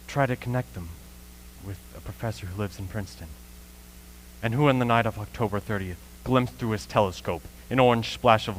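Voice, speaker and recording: A man playing a haunted professor, lone survivor of an invasion, in a brooding, deliberate radio play monologue. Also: lowish voice, slight background noise, close to an everyday voice, low-quality recording. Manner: brooding, deliberate, swelling into anguish.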